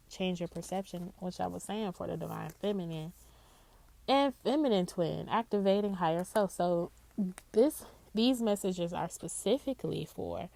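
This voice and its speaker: A woman with a nasal voice, stuffed up from congestion, talks slowly and unhurriedly through a card reading. Her voice sits a little low, and she keeps tagging her thoughts with a soft "okay?" to the viewer. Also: rough recording. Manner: slow and drawn-out, with frequent "okay?" check-ins. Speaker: psychic tarot reader. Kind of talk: casual spiritual card reading.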